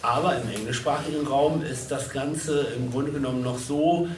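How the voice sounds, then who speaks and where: Male stage host for live panel, halting, wordy delivery, recorded from afar in an echoey hall. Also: medium pitch. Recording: echoey, distant, noisy event recording.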